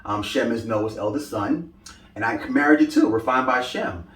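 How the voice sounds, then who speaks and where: A man's voice: medium pitch, moderate pace, a bit bright, slight background noise. A young man from Brooklyn, answering interview questions about his style brand in an upbeat, rambling way with lots of "you know.". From Brooklyn, New York. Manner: upbeat, talks in loose run-ons with many "you know" fillers. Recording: echoey room, mic at a distance.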